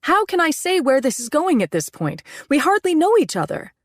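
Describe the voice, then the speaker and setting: Woman narrator doing a pleading, anxious wife, pitched up, tense, dry audiobook read. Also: animated, moderate pace, no echo. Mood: evasive. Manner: pleading, strained. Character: anxious middle-aged woman, defensive, rattled.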